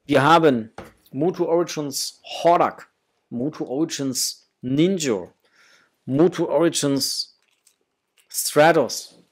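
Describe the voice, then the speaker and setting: A man's voice: fairly high pitch, slow, long pauses, husky. A middle-aged man hosting a toy unboxing, chatting casually and enthusiastically to his viewers in a gushing way. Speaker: host of a toy collecting channel. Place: small home room indoors.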